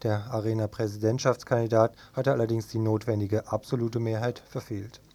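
A man's voice: mid-range voice, a little mellow, dry recording. Male radio presenter reading a scripted report. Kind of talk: scripted news narration.

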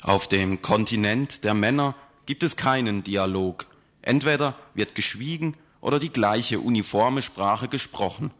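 A man narrating a scripted radio report in a measured, emphatic way. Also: on the low side, fairly bright tone, a little hiss or hum.

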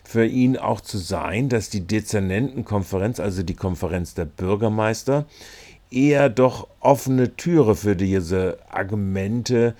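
A man with a fairly low voice gives local news commentary. His delivery is wry and colloquial, and he feels his way through longer sentences with some halting. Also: dark tone. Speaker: reporter.

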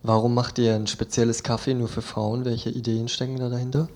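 A man's voice: medium pitch, level tone, a little fast, a little mellow, slight background noise. A man interviewing guests in a casual, conversational radio style.

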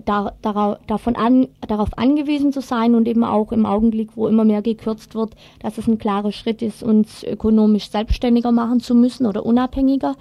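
Female interviewee, project organiser, mellow timbre in a dry recording, earnest and searching for wording. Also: fairly high pitch, no room sound. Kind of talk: spontaneous interview explanation.

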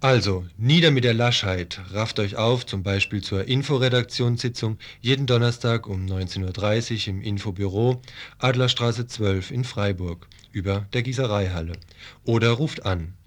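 A man's voice: on the low side, normal speed. A man presenting a scripted radio promo, speaking directly to listeners as part of a news team.

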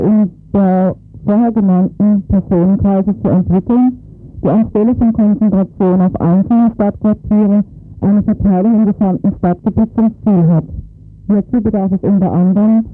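A man with a notably high voice reads official text in a formal, scripted way. The radio recording is tinny and narrow, like a telephone line. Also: fairly even intonation, moderate pace, long pauses, faint music behind. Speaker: reader of a quoted document. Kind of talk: formal read-out of numbered points.

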